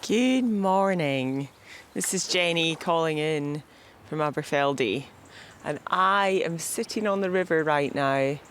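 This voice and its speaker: A woman's voice: low-pitched, animated, takes it slowly, a little hiss or hum. A Scottish woman chatting in a friendly, easygoing way outdoors on a river. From Scotland. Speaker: presenter, endurance cyclist. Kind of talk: casual outdoor chat.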